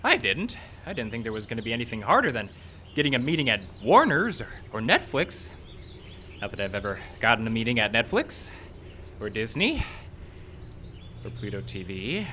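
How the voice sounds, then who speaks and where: A man's voice: mid-range voice, bright, forceful tone. A man playing a hapless, self-doubting filmmaker in a live audio drama, rambling in a jittery, self-mocking way. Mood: weary musing. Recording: outdoor live stage, faint background noise.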